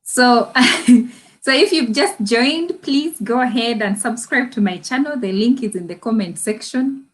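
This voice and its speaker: A woman from Uganda co-hosting a livestream in a chatty, easygoing way. She speaks slowly in a fairly high voice and laughs often as she shares her experiences. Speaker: livestream co-host with her own channel. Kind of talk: casual chat about personal experience.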